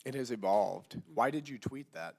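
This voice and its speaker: A man's voice: mid-range voice, moderate pace, creaky, breathy edge. A congressman, a man getting over a cold with his voice partly lost, putting pointed questions to a witness at a hearing.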